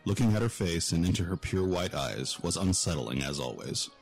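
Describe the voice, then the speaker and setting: A man's voice: low-pitched, big pitch swings, moderate pace, gravelly, faint music behind. A man giving scripted narration for a fantasy audio drama.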